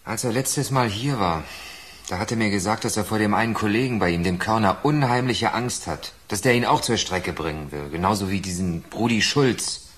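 A man's voice: fairly low pitch, normal speed, lightly acted. A man playing a sarcastic, embittered young prisoner in a German radio crime drama. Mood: bitter, suspicious.

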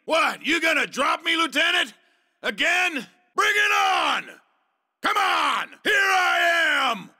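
A man voicing a ruthless, vengeful soldier villain in a video game, with a gruff, gravelly delivery that is menacing and commanding. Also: very high voice, sing-song, slow, sharp tone, raised voice, exaggerated voice. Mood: defiant shouting.

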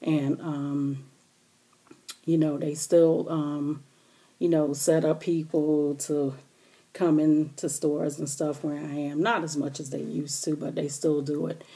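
A middle-aged woman talking quietly and hoarsely to her camera at home, rambling with many fillers as she gives her viewers an update. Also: low-pitched, level tone, slow. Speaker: vlogger. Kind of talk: casual direct-to-camera update. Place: small bedroom indoors. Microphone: webcam or laptop camera close up.

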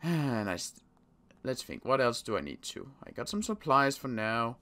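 A man playing a worried zombie apocalypse survivor in an improvised game roleplay, muttering to himself and repeating words haltingly. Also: mid-range voice, very animated, sharp tone. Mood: anxious, thinking aloud.

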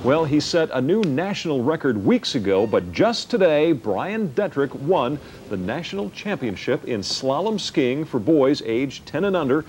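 A man's voice: fairly high pitch, sing-song, moderate pace, hardly any pauses, a little mellow. A middle-aged American man reading the news in a scripted broadcast style as a TV anchor. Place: TV news studio. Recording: noisy old TV broadcast tape.

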